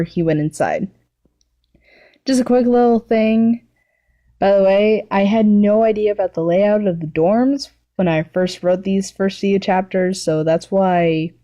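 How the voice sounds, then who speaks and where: A woman's voice: lowish voice, dark tone, muffled recording. A woman narrating fan fiction, reading it aloud as a story.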